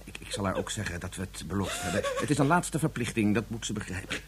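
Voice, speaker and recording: A man playing an evasive, flustered father in a radio drama, speaking hesitantly and placatingly in a husky voice. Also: lowish voice, very animated, fast, a crowd in the background. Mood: hesitant, apologetic. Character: evasive, flustered father absorbed in his work.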